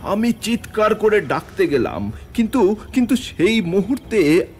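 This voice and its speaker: A man narrating a horror story in the first person, with suspenseful, theatrical, deliberate phrasing over music. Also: high voice, big pitch swings, darkish tone.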